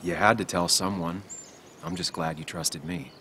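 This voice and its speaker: A low, earnest male voice in a story-driven game, gentle and measured. He sounds weary yet reassuring, with some noise behind him. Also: steady tone. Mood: tender, consoling. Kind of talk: dramatic video game acting.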